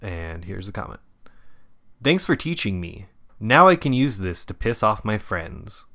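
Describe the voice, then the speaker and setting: A man's voice: fairly low pitch, very animated, a little slow, pushed and bright. A man co-hosting a casual podcast, reading comments aloud for a guessing game and laughing often. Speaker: podcast co-host running a quiz segment.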